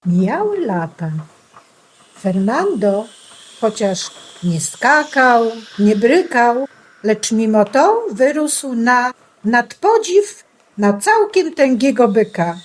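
A woman's voice: fairly high pitch, very animated, takes it slowly, rough recording. A woman announcing and narrating a scripted children's storybook play.

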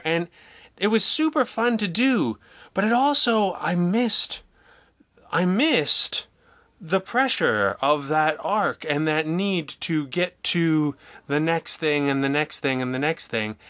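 A man talking casually as an interview guest, telling stories in an animated, jokey, self-deprecating way and acting out remarks he quotes. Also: on the high side, unhurried, dry recording. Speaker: guest, audio drama writer and producer.

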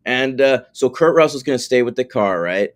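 A man's voice: mid-range voice, expressive, moderate pace. Wry, rambling man hosting a podcast. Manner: wry, rambling, swears casually. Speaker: podcast co-host. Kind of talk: casual film podcast chat.

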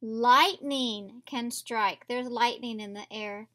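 Female primary teacher for picture-book read-alouds; high, bright voice, unhurried delivery, speaks straight to the kids. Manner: instructive, addressing children directly with questions. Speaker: teacher of young children. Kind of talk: read-aloud storybook lesson for young children. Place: indoors at a table, reading from a picture book.

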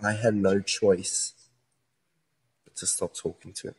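A man's voice: fairly low pitch, little pitch movement, a little slow, darkish tone, breathy edge, exaggerated voice. A man acting in a modern radio play as a distressed, earnest young man pleading his innocence over a split personality. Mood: subdued, sorrowful.

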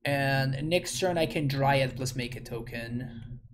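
A man's voice: medium pitch, takes it slowly, a little hiss or hum. A young man with an Argentinian accent, casually thinking aloud while playing a card game and chatting with viewers on a headset mic. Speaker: streamer. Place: home room at a desk.

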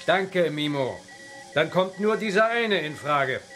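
Male scientist professor, sci-fi radio play. Slightly high voice, grave, deliberate, explanatory, light music underneath. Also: animated, fairly bright tone. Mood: matter-of-fact. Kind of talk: children's sci-fi radio drama acting.

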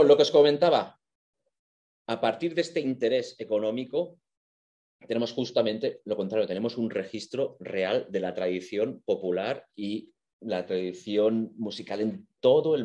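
A man with a fairly low voice and an accent from Spain gives an informal lecture. He explains things conversationally, with evident enthusiasm for his material. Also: moderate pace, dark tone.

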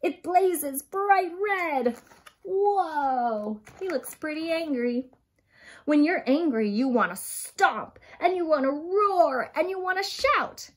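A woman in her thirties reading a picture book aloud to young children in an expressive, dramatic way, stressing key words and addressing her listeners directly. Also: sits high. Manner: dramatic gasps and emphatic, drawn-out key words. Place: small furnished room at home, indoors.

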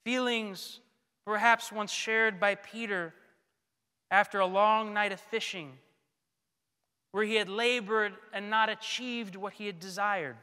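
A man in his thirties, a priest, delivering a scripted sermon in a solemn, deliberate manner with frequent pauses. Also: high voice, fairly even intonation, pushed and bright, clean tone. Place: large church, indoors at a pulpit. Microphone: gooseneck pulpit microphone. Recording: long church reverb.

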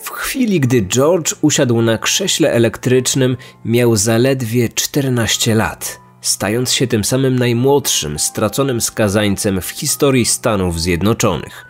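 Male podcast host, mid-pitched, scripted crime storytelling with soft music underneath. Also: a little slow. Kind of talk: scripted true-crime narration. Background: background music.

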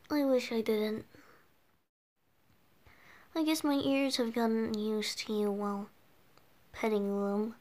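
Woman playing a bashful cat-eared boy bard, high voice, slow halting delivery, flustered stammer, ASMR roleplay. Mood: resigned, shy. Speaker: audio roleplay performer. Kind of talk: ASMR roleplay, speaking to the listener.

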